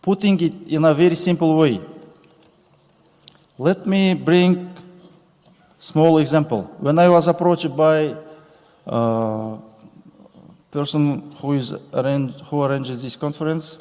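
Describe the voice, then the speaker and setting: A man, giving a formal conference speech with slow, deliberate phrasing and pauses. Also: a little high, mellow. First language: Kazakh. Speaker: conference speaker. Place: large conference hall stage. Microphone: podium microphone. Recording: reverberant conference hall.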